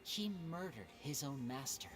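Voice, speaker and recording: A woman playing a poised, cunning young stage magician in a video game, measured and theatrical. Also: extremely low pitch, big pitch swings. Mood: grave, probing. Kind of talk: English video game voice acting. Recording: music behind the voice.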